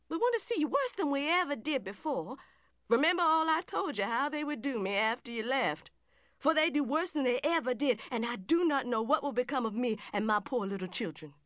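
Casting: a distressed enslaved mother, plaintive and weary, higher voice, dryly recorded, historical dramatic reading. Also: fairly bright tone, dry recording, clean studio sound. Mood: pleading. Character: distressed enslaved mother writing to her soldier husband.

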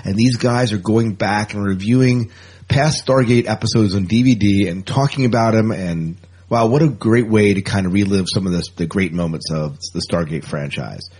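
A man's voice: lowish voice, normal speed, a little mellow, breathy edge. A man hosting a casual science fiction news podcast in an enthusiastic, conversational way. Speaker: podcast host. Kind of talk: casual sci-fi news chat.

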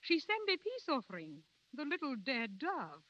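A shrewd, playful prima donna in a vintage radio play. She speaks high and brightly with lively, imperious swings. The recording is dull with age. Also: moderate pace, no room sound, full character voice. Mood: suspicious, wry. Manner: imperious yet teasing, theatrical. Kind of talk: 1930s radio drama acting.

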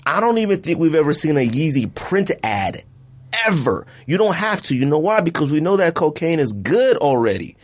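Animated slangy male podcast host. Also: moderate pace. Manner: animated, slangy, jumps into high-pitched asides. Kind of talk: casual chat.

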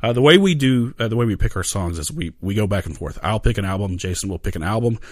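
A man's voice: fairly low pitch, expressive, fast talker, dry recording. A man co-hosting a music podcast, enthusiastic and prone to repeating words as he starts sentences. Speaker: podcast co-host. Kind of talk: casual chat.

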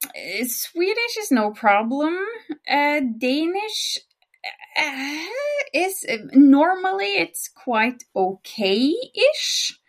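Chatty Norwegian woman with a high voice. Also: very animated. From Norway. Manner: chatty and giggly, talks fast. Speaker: podcast co-host. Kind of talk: casual chat.

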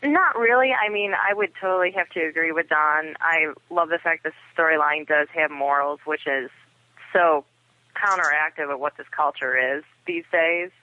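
A dry, thin phone line carries a man with a fairly high voice. He is a guest on a podcast, talking through his opinions in a casual way. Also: normal speed. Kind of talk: casual discussion. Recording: dry, tinny telephone line.

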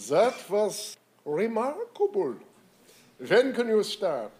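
A man playing a stern, shady office boss in an audio comedy, speaking in a formal, measured way. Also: sits high, big pitch swings, darkish tone, full character voice. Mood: businesslike. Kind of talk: audio comedy drama acting.

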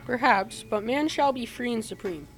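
A teenage girl playing a courteous, earnest factory manager in an amateur radio play, reading haltingly with many ums. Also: on the high side, expressive, lightly acted, low-quality recording. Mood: earnest, assured.

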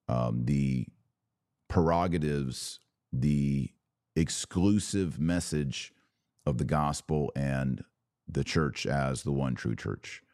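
A man with a slightly raspy voice, worn from hours of talking, hosting a casual religious commentary podcast in short, emphatic phrases. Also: extremely low pitch, a little mellow, dry recording, studio quality. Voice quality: a little raspy. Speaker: podcast host.

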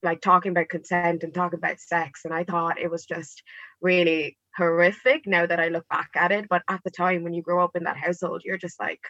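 Young woman guest rambling casually, lots of ums and likes, lowish bright voice, kinda dull dry sound. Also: level tone, brisk, dry recording. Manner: chatty, many ums and likes, laughs easily. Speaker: podcast guest. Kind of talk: casual live podcast chat.

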